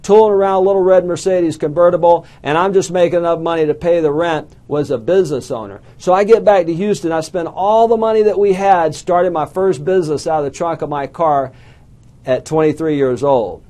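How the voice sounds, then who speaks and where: Southern man from Alabama, middle-aged, slightly high voice; folksy motivational speaker who asks the crowd questions. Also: fairly quick, dark tone. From southern United States. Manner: folksy storytelling with self-deprecating jokes and questions to the crowd. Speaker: small business coach speaker. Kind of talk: motivational talk to a live audience.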